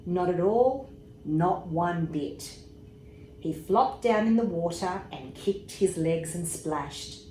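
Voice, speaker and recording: A woman reads a children's bedtime story at a slow, unhurried pace. Her delivery is expressive, with small asides about the pictures. The room gives her voice noticeable echo, with faint noise behind it. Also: fairly low pitch. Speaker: storybook reader, emergency services commissioner. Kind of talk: bedtime story read aloud to young children.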